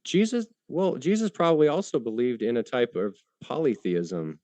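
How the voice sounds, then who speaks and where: A man in a debate who answers haltingly, with many fillers and restarts and some casual swearing. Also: medium pitch, huge pitch swings, darkish tone, dry recording. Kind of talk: live debate.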